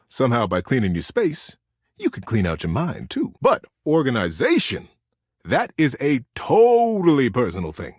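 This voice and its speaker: A man voicing a lovable old teddy bear in a video game, a gentle, encouraging buddy with a folksy, playful, earnest way of giving advice and a husky voice. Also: medium pitch, dry recording, clean studio sound. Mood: gentle, reassuring. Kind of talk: video game character monologue, storytelling advice.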